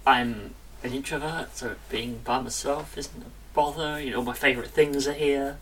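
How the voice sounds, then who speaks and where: A woman co-hosting a podcast, chatting casually with a lively, self-interrupting manner. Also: extremely low pitch, normal speed, distant mic, a little hiss or hum, poor audio. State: recovering from illness. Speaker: podcast co-host. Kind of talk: casual chat.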